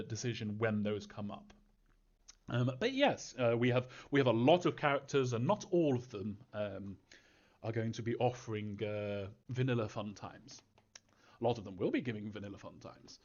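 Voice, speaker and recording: A man hosting a casual, unscripted question-and-answer session, speaking haltingly with frequent ums. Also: mid-range voice, highs cut off. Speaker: game developer hosting a fan Q&A.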